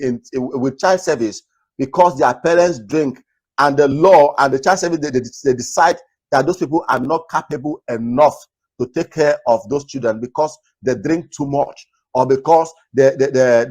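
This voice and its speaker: A man from Nigeria hosting a talk video, earnestly advising viewers in a naturally loud voice and repeating his points. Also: mid-range voice, moderate pace. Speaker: host. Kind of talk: casual commentary to camera.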